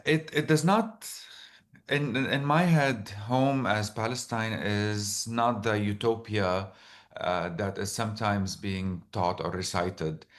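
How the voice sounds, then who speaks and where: A man with a Lebanese accent telling personal stories as an interview guest, halting as he searches for words. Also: on the low side, fairly bright tone. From Lebanon, born in the Emirates. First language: Arabic. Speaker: interview guest, author and storyteller. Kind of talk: reflective personal storytelling in conversation.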